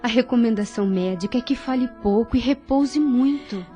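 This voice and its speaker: Woman as mellow, devout young female carer. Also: a little high, normal speed, lo-fi sound, natural voice. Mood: earnest, consoling. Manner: gentle, earnest, consoling. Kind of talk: audio drama acting. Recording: soft music behind voice.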